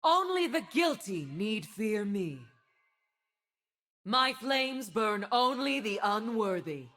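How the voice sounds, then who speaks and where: A woman's voice: fairly high pitch, big pitch swings, takes it slowly, a bit bright, full character voice. A woman playing a stern, zealous divine winged warrior in a video game, making solemn, grandiose declarations. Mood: fervent, righteous. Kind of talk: video game character voice lines.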